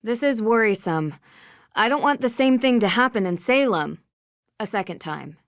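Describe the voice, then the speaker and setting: A woman's voice: on the high side, lively intonation, normal speed, dry recording, clean studio sound. An actress playing a polite, inquisitive young woman detective in a video game, courteous and questioning. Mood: concerned.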